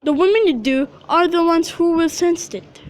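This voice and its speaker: A woman's voice: very high voice, normal speed, dry recording, clean studio sound. A woman playing a teasing, skeptical servant in an amateur student radio drama, with a needling manner. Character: Gregory, teasing, skeptical servant, ready to squabble.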